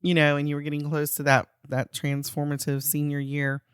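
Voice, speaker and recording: A woman's voice: low-pitched, monotone, a little fast, clean tone, no echo, studio quality. A woman hosting a casual podcast interview, encouraging her guest with short affirmations and questions. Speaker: podcast host.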